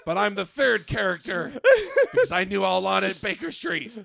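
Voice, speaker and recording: A man voicing a manic, drug-craving detective in a comedic story reading, theatrical and bombastic, swinging into shouts. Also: sits high, lively intonation, normal speed, vocal fry, exaggerated voice. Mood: manic, demanding.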